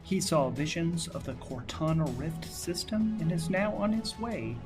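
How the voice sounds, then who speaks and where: A man's voice: a little high, expressive, unhurried. A man hosting and narrating a solo tabletop role-playing game, thinking aloud as he goes. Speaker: host, narrator and game master. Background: background music.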